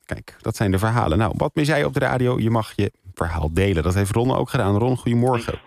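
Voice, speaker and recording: A man's voice: mid-range voice, quick pace, vocal fry, darkish tone, dry recording. A man with a Dutch accent hosting a live phone-in radio show, chatting and reading out listener messages. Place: radio studio.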